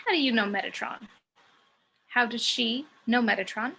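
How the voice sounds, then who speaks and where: A woman's voice: a little high, normal speed, sharp tone, slight background noise. A woman playing a cocky, sardonic muse who works as a stripper, in a script table read. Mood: surprised. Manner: sardonic, brash. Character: sassy, cocky female muse working as a stripper.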